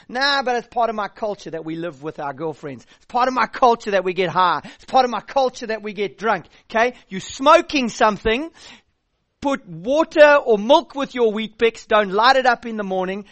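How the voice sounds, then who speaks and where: A man's voice: very high-pitched, fairly bright tone, dry recording. South African man preaching, dry sound. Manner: direct, blunt, with a dry joking edge. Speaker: youth pastor. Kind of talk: sermon.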